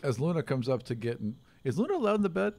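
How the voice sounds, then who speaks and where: Animated man running a tabletop game. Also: mid-range voice, fast talker, vocal fry. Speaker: game master. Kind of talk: improvised tabletop game narration.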